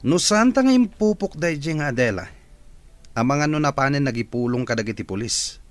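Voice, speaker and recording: A man's voice: medium pitch, lively intonation, fairly quick, faint music behind, close to an everyday voice. A man acting in a radio drama as a scheming captor who mocks and laughs. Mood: mocking.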